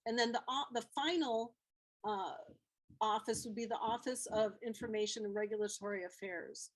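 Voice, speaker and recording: A woman's voice: a little high, normal speed. Woman activist talking with many fillers. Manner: hesitant, many fillers and restarts. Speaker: guest speaker, activist. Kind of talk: informal talk answering questions.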